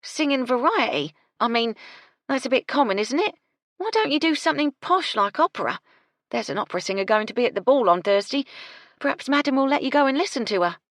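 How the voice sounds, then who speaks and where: A woman's voice: sits high, expressive, fairly quick, no echo, studio quality, voice pushed up. A woman voicing a brash, bossy young kitchen maid who scolds bluntly and swears freely. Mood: scornful.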